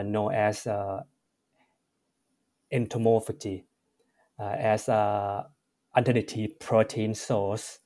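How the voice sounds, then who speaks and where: A man from Thailand with a Thai accent, answering interview questions as a researcher, pausing often with fillers and correcting himself. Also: lowish voice, a little mellow. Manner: measured, frequent "uh" fillers and self-corrections. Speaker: researcher guest.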